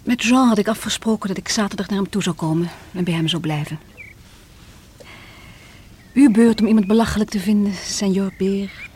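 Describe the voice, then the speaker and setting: A woman's voice: mid-range voice, normal speed, pauses often, darkish tone, a little hiss or hum, natural voice. An actress in a Dutch radio play as a proud, defiant woman being questioned by police, speaking formally with a sardonic edge. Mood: cool, dismissive.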